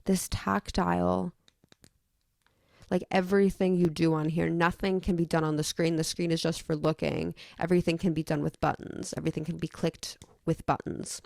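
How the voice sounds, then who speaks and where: Young woman, low creaky voice, casual rambling. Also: level tone, normal speed, darkish tone, dry recording, studio quality. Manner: opinionated, emphatic, casual swearing. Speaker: vlogger.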